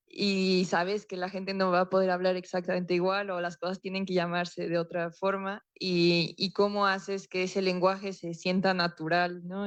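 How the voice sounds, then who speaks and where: A novelist on a seminar panel, a woman speaking in a measured, even way. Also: medium pitch, clean tone, dry recording. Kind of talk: panel discussion.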